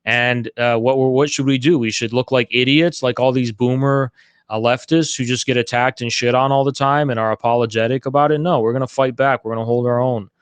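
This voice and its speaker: A man's voice: medium pitch, fairly even intonation, brisk, smooth, no echo. Emphatic man in a heated debate. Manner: emphatic, repetitive, swearing. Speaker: debater leading a political group. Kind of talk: impassioned live political debate. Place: live online audio chat room.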